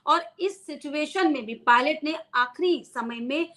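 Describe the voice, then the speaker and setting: A woman's voice: high voice, measured pace, a bit bright. A woman news presenter giving an unscripted explainer to viewers, repeating key phrases for emphasis.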